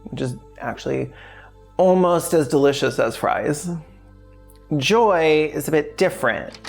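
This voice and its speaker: A woman's voice: low-pitched, expressive, unhurried, vocal fry. A woman narrating a scripted video essay in a wry, conversational, sweary way. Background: music behind the voice.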